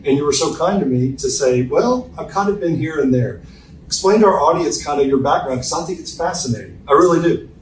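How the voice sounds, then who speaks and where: A man's voice: fairly high pitch, expressive, a little fast, a little hiss or hum. A man hosting a podcast in a hotel suite, talking in a friendly, upbeat, chatty way. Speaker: podcast host. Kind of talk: casual interview. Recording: roomy hotel suite, distant mic.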